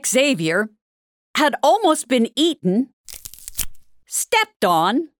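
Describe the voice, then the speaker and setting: A woman narrating a futuristic fairy tale in an audio drama, with a measured storybook delivery. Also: high voice, sing-song, no room sound, studio quality, heavily acted. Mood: storytelling.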